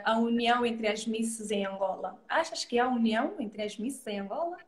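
A woman's voice: a little high, normal speed. Hesitant woman host on a roomy call. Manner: hesitant, fills with hums, agrees often. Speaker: host of a live show. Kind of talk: casual live video-call interview. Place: indoors, plain magenta wall. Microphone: video call from a laptop. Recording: roomy video call audio.

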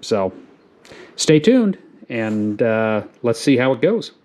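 A middle-aged man hosting a farm channel, explaining a do-it-yourself project in a relaxed, rambling way with lots of fillers, filmed in a garage on an action camera. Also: mid-range voice, slow. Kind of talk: casual how-to video narration. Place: garage, then outdoors on a farm lawn.

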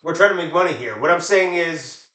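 A man's voice: fairly high pitch, animated, a little fast, pushed and bright. A man bantering casually and jokingly with friends in a living room, picked up by a camera across the room. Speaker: comedy show co-host. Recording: roomy living room echo.

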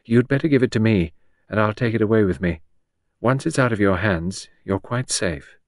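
A man voicing a weary, composed and protective woman in an audiobook, speaking in a deliberate, self-possessed way. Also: on the low side, no room sound, studio quality, natural voice. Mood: firm, reassuring.

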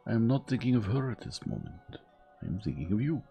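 Fastidious elderly detective, formal and gentle, raised pitch, lively as a narrator puts him on. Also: male voice, gravelly, soft background music. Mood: earnest. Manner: measured, formal, gently persuasive.

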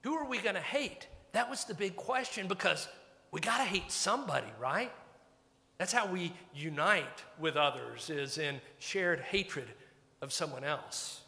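Bright-voiced pastor preaching. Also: male voice, high voice, lively intonation, measured pace, vocal fry. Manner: conversational, wry and reflective. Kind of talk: sermon. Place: church sanctuary at a pulpit. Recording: long church reverb.

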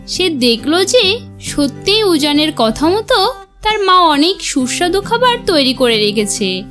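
A woman narrating a scripted cartoon fairy tale as an expressive storyteller. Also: sits high. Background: music.

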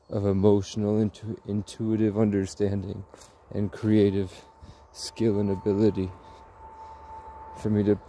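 Slow, low-voiced young man rambling. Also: warm tone. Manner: halting, pausing in short phrases. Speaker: vlogger. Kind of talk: slow rambling monologue, ASMR-style walk. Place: outdoors in woods, walking.

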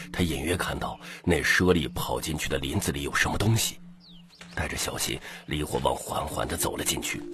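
A man narrating an audiobook in a scripted, dramatic storytelling style, with a husky, gravelly voice. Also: rumbling low.

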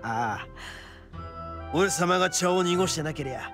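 An actor playing a brash, proud young male oni gang boss in a video game, with rough, cocky, emphatic speech. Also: on the high side, animated, unhurried, music in the background. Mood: serious, defiant. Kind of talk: dramatic video game voice acting.

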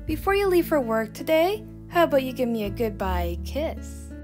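A woman's voice: high-pitched, sing-song, normal speed, over music. A woman voicing a sweet, playful, lovestruck female hedgehog in a comic dub.

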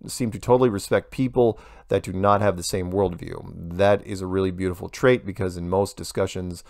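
A man's voice: low voice, steady tone, a little fast, vocal fry, darkish tone, no echo, clean studio sound. A man hosting a show, reading listener emails aloud and commenting casually with wry asides.

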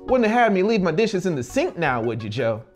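Storybook housewife, played by a man: folksy, flustered, cheerful, lifted pitch, lively swoops, music underneath. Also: a little fast. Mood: folksy, breathless cheer. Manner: folksy dropped-word country talk. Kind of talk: dramatized audiobook reading.

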